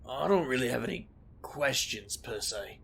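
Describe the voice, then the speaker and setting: A man's voice: mid-range voice, lively intonation, normal speed, creaky, fairly bright tone, slight background noise. A man playing a wry, weary investigator for hire, dry and sardonic, in a comic audio drama. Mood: sardonic, fed up.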